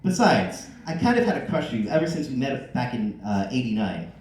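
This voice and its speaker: A man's voice: medium pitch, very animated, fairly quick, darkish tone, pitched below the performer's own voice, close to an everyday voice. A man voicing a big, cheerful, flirty handyman with a coy scheme in a comic story. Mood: eager, persuasive. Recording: echoey room, distant mic, faint noise.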